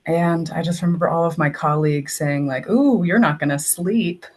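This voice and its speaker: A woman's voice: low voice, normal speed. A young woman from Texas, a guest on a podcast, telling stories in a chatty way and often saying "like". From Texas, United States. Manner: chatty, uses "like" often, storytelling. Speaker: guest, English language assistant. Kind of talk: casual interview.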